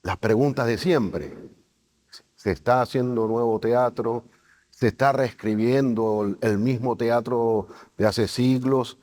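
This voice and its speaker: A man's voice: medium pitch, takes it slowly, darkish tone. A man hosting a conversational interview, effusive and complimentary toward the guest. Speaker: interviewer and host.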